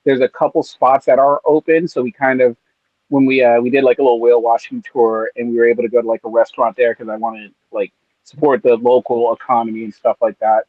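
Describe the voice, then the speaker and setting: A man's voice: mid-range voice, level tone, a little fast, no echo, narrow, tinny audio. A man from California, a podcast co-host, chatting in a laid-back, rambling way with lots of fillers. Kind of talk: casual chat. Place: on vacation in Maui.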